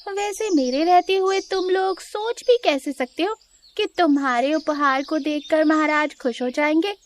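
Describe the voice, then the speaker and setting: A woman's voice: sits high, a little slow, a little hiss or hum, pitched above the performer's own voice. A woman voicing a vain, sassy, boastful young female deer in a children's cartoon, with a taunting, dramatic manner. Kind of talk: Hindi children's cartoon voice acting.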